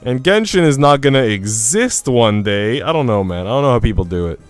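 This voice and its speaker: A man's voice: mid-range voice, lively intonation, fairly quick, soft background music. A man livestreaming a game, talking casually with informal slang and reading story text aloud. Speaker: game streamer.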